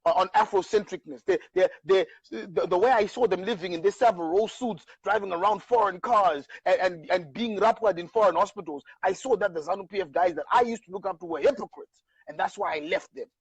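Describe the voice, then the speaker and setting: A man's voice: high voice, a little fast, husky, dry recording, rough recording. A middle-aged Zimbabwean man in casual political discussion, emphatic, with stammering restarts. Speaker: show host.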